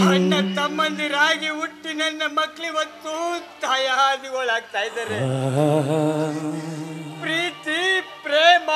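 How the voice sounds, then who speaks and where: An actor playing a grieving older father who pleads melodramatically as his family splits apart. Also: very high voice. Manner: anguished, pleading melodramatic delivery. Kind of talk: Kannada film drama acting.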